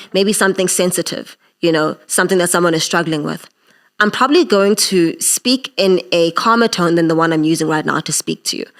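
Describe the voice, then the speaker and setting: A young woman with a deep voice teaching podcasting in a masterclass, earnest and direct, often repeating words as she thinks. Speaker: podcasting instructor.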